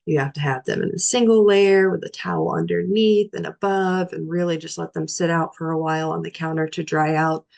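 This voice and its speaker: Hoarse, gravelly woman teaching cooking tips. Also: deep voice, brisk, hardly any pauses. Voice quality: raspy. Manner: relaxed, practical, with frequent "um" fillers. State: hoarse from a cold. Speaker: nutrition educator. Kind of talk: informal library talk on cooking.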